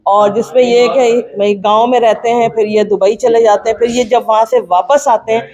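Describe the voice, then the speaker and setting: A woman's voice: a little high, fairly even intonation, a crowd in the background. A woman with a Pakistani accent gives an informal interview answer about herself. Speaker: stage actress. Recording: dull lo-fi event interview.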